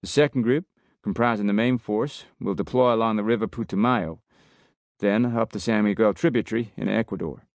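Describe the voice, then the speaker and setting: A man voicing a brisk male American commander with a Texan drawl, giving a loud, commanding briefing. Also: fairly low pitch, no room sound, voice pushed up, close to an everyday voice. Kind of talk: audiobook character voice.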